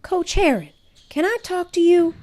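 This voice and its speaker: A woman's voice: extremely high pitch, animated, normal speed, a little mellow, voice pushed up. A woman voicing an eager, determined nine-year-old boy in an earnest, pleading way for a storybook.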